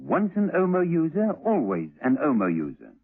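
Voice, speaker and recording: A male announcer reads a vintage radio advert with lively pitch and a husky edge. The recording is thin and tinny. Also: moderate pace.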